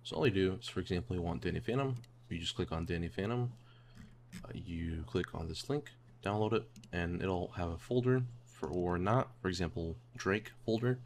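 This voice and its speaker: Male tutorial host, low-pitched, informal and instructional, slight hum behind the voice. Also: moderate pace, a little mellow. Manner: informal, step-by-step explaining. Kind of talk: casual screen tutorial narration. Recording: clear background noise and hum.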